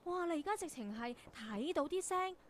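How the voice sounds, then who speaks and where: An actress playing a curious, excitable young female radio intern in a radio drama. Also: sits high, moderate pace, slight background noise. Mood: curious, amazed. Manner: excitable, teasing, exclamatory. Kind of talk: Cantonese radio drama acting.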